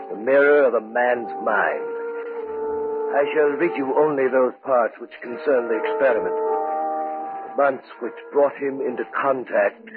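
An actor playing a grave, intense male research scientist in an old-time radio horror drama, speaking deliberately. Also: mid-range voice, lightly acted. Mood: dramatic, somber. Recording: muffled, roomy vintage radio recording.

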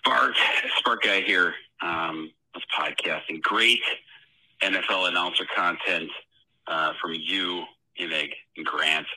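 A man leaving a casual listener voicemail about sports, giving his opinion in a halting, measured way. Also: deep voice, sharp tone, low-quality recording. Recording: voicemail phone recording.

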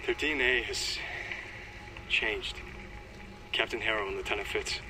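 A man playing an anxious, hushed scientist in an audio drama, urgent and halting as he reports. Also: lowish voice, natural voice. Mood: baffled, grave. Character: worried, hushed male scientist reporting a puzzling finding. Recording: very noisy, distant-sounding call.

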